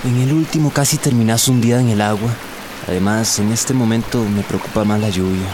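A man's voice: on the low side, steady tone, close to an everyday voice. An actor playing a wary, practical man stranded by a flood in a radio drama, with a Central American Spanish accent. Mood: worried, exasperated. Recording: rain and rushing stream noise behind.

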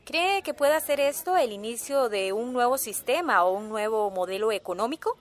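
An actress in a radio drama playing a formal, courteous television news reporter conducting an interview. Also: female voice, fairly high pitch, lively intonation, moderate pace, lightly acted. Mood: professional, inquiring. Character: polite, professional female TV news reporter.

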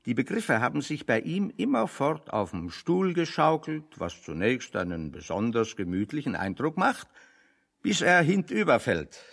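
An actor playing a bookish, wry refugee intellectual in a radio play, expounding philosophy in a pedantic, dryly ironic way. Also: male voice, mid-range voice, wildly swooping pitch, normal speed, gravelly, fairly bright tone, exaggerated voice. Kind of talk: radio play dialogue, discursive monologue.

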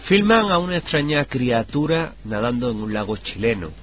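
A man's voice: medium pitch, very animated, normal speed. A man reading scripted news items on a radio show. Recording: dull radio sound with music. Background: background music.

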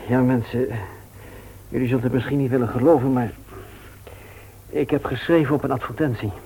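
A male radio play actor playing a nervous, earnest bachelor, speaking in a halting but insistent way. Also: mid-range voice, steady tone, warm tone, a little hiss or hum, natural voice, low-quality recording. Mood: nervous, confessional. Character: nervous, hurried bachelor friend, guilt-ridden and earnest. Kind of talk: naturalistic Dutch radio drama acting.

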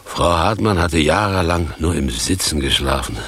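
Very husky, theatrical old-trouper tramp. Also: male voice, a bass voice, animated, a bit bright, heavily acted. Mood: deadpan reading. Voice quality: very husky, creaky. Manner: slow, declamatory. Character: theatrical male tramp, washed-up provincial stage actor. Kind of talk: 1980s German radio drama acting.

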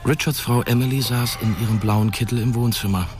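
A man's voice: medium pitch, fairly even intonation, a little fast, a little mellow, faint music behind. A man giving scripted literary narration in a radio drama.

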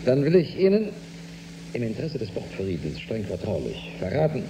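An actor playing a commanding, weary spaceship captain in a German radio drama. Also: male voice, mid-range voice, huge pitch swings, moderate pace. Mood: stern. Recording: roomy studio with clear background hum.

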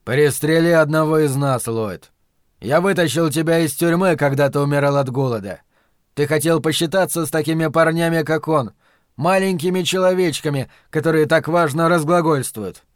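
A man voicing a sinister, commanding dark master who taunts and purrs with false tenderness in an audiobook. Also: on the high side, normal speed, no room sound, clean studio sound, lightly acted. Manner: imperious, taunting, swinging from roars to purring.

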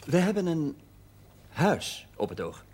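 A man's voice: fairly high pitch, sing-song, slow, a little mellow, slightly rough, full character voice. An actor playing an assertive, dryly amused married businessman and son-in-law in a naturalistic television drama. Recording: faintly noisy 1970s television soundtrack, tableware clinks.

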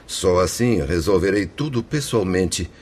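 Deep-voiced, remorseful penitent man. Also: expressive, unhurried, a little hiss or hum. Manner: solemn, formal, declamatory confession. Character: remorseful suicide spirit, former bankrupt merchant, penitent and anguished. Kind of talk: radio drama acting.

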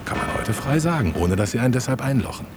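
A man's voice: mid-range voice, expressive, quick pace, music in the background, natural voice. An older man, an actor playing an aging, sardonic and nostalgic dentist in a German radio play, with a wry, laconic manner. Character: aging male dentist, sardonic, nostalgic old drinking companion.

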